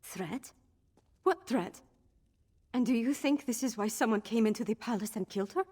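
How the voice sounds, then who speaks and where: Young fantasy princess, breathy husky tone, grieving, earnest, strong-willed, determined. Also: female voice, a little high, normal speed, a little mellow. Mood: questioning.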